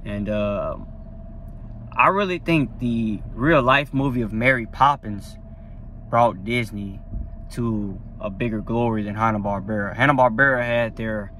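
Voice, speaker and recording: A man's voice: lowish voice, a little slow, fairly bright tone, a little hiss or hum. A young man talking casually to a phone camera from a parked car, rambling and enthusiastic with lots of fillers. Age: young adult. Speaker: vlogger. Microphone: phone at arm's length.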